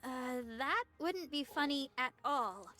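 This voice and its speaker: A woman's voice: sits high, animated, slow pace, bright, forceful tone, faint music behind, full character voice. An actress playing a blunt, disapproving female soldier in a game cutscene. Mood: disapproving, worried.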